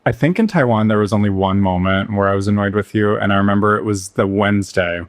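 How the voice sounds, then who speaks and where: A man's voice: on the low side, fast talker. A man co-hosting a podcast, in casual chat, retelling stories with sassy, dramatic flair. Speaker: podcast co-host.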